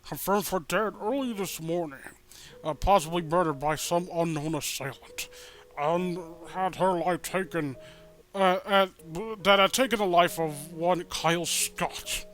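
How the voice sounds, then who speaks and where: Man acting a principal in his forties: solemn, formal, halting delivery, fairly high pitch, music behind. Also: a bit bright, poor audio. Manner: slow, halting, formal speechmaking. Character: solemn male school principal in his forties addressing students. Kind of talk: comedic dramatic reading of a story.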